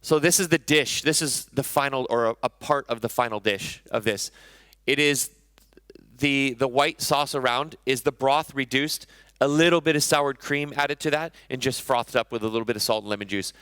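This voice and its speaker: A man's voice: mid-range voice, normal speed, a bit bright, clean tone, dry recording. A man in his thirties, a chef giving a conversational conference talk on stage, often correcting himself mid-sentence. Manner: conversational, self-correcting, enthusiastic about food.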